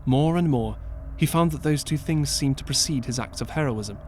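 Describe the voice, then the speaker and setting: A man narrating an audiobook as scripted storytelling, measured and earnest, with dramatic pauses. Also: medium pitch, slight background noise. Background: clear background music.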